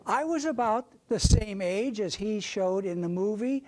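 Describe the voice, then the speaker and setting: An older American woman from Ohio giving a prepared, theatrical stage talk, deliberately addressing her audience and reminiscing. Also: medium pitch, animated, a little mellow, rough recording. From suburban Ohio, United States. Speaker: presenter, readers' theater member.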